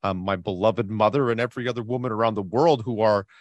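A man's voice: fairly low pitch, fast, dry recording, dull audio. A middle-aged man hosting a podcast interview, gushing with praise for his guest. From Canada. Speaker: podcast host. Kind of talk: casual interview.

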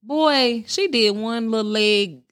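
A woman's voice: fairly high pitch, slow, fairly bright tone. A woman co-hosting a podcast who gives blunt, profane opinions in casual chat. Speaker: podcast co-host.

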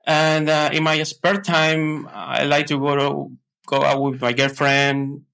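A man from Ecuador with an Ecuadorian Spanish accent, chatting casually as an interview guest in slightly halting English. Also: on the high side, flat delivery, creaky, a bit bright. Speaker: podcast guest, tech consultant.

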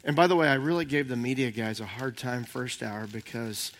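An older man giving a conversational sermon as senior minister, slightly hoarse, rambling and halting with many fillers. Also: medium pitch, fairly even intonation, a bit bright.

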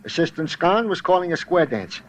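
An actor playing a chatty, eager, folksy police sergeant, the earnest comic sidekick in a 1940s radio drama. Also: male voice, on the high side, big pitch swings, brisk. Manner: working-class, ungrammatical, earnest. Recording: muffled, hissy old radio recording.

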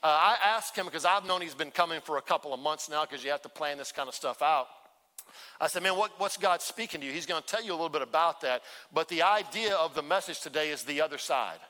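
A man's voice: fairly high pitch, fast talker, bright, forceful tone. A man, the church's pastor, introducing a guest speaker to the congregation with enthusiasm. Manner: enthusiastic, hyping the crowd. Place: large church sanctuary. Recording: long hall reverb.

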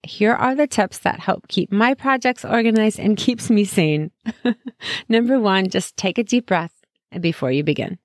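A woman's voice: medium pitch, creaky, no room sound, studio quality. A woman hosting a casual solo podcast, chatty and encouraging, her voice strained as she says she is losing it. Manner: chatty and encouraging, friendly asides. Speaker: podcast host.

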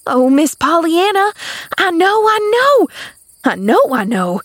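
An excitable housemaid from a storybook, acted out by a woman narrator. Her pitch rides high as she gossips in folksy, rustic, ungrammatical phrases. She is dramatic and repeats herself, recorded close and dry. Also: measured pace, no room sound. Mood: excited. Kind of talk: audiobook character voice.

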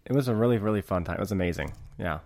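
A man with a very deep voice co-hosts a podcast in a relaxed, easygoing manner. He mostly answers in short agreeing words and now and then talks at more length. The sound is close and dry. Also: very fast, vocal fry, no echo. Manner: relaxed, laid-back, short agreeing replies. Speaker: podcast co-host. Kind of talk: casual chat.

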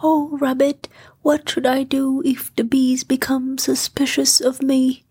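A woman voicing a gentle, naive, honey-loving male bear, mild and polite, in a children's bedtime story. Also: high-pitched, completely flat delivery, measured pace, breathy edge, dry recording, pitched above the performer's own voice, heavily acted. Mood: nervous.

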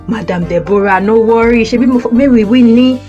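A woman's voice: on the high side, a little fast, dark tone, dull audio, faint music behind, lightly acted. A Nigerian woman playing a timid, reluctant male army commander who protests expressively in an audio Bible play. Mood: wary, insistent.

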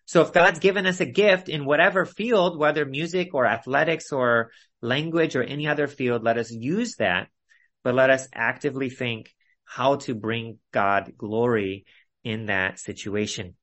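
A man teaching an expository Bible study in an earnest, instructive way with measured phrasing, speaking over an online video call. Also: medium pitch, fairly even intonation, fairly bright tone.

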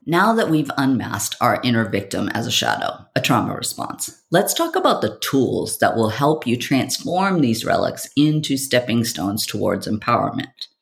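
A podcast host and coach reads a scripted monologue with theatrical flair. The voice has a lot of vocal fry and moves widely in pitch, putting questions and appeals directly to the listener. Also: sing-song, moderate pace, hardly any pauses.

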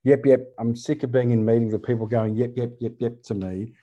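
A man's voice: mid-range voice, fairly even intonation, fairly quick, warm tone, muffled recording. A man who is a podcast guest and consultant, talking earnestly and emphatically in a conversational interview. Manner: earnest and emphatic, with repeated phrases. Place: living in Germany.

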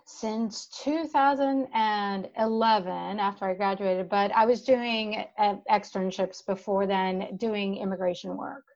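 A woman's voice: mid-range voice, steady tone, a little slow, few pauses, darkish tone, dull audio. A woman in her thirties from the Dominican Republic, an immigration attorney talking through her work with frequent restarts. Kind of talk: conversational chat.

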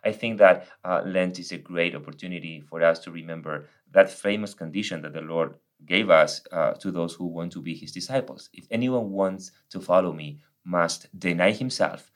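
A middle-aged Argentine priest whose first language is Spanish, earnestly explaining faith in a podcast conversation. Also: male voice, low-pitched, normal speed, few pauses. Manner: earnest, explaining with examples and quotes.

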